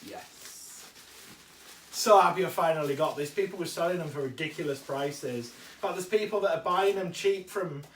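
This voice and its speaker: A man with a cold talks on in a chatty, eager way, often correcting himself as he goes. His voice sits fairly high. He is recorded from a distance in a room that echoes. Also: moderate pace, a little hiss or hum. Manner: chatty, enthusiastic, self-correcting asides. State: has a cold. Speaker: toy collector vlogger. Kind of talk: casual unboxing chat. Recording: roomy, distant mic.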